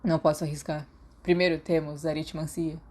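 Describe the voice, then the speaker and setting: A woman's voice: flat delivery, a little fast, a little mellow. A woman with a Brazilian Portuguese accent voicing an exhausted, withdrawn teenage boy werewolf who speaks tiredly. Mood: weary. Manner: tired, low-key.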